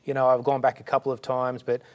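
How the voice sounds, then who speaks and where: A middle-aged man with an Australian accent answers interview questions. His voice sits in a middle pitch, and he speaks earnestly and fluently, now and then restarting a phrase. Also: monotone, fast talker. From born in India, raised in Sydney. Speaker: charity director, guest.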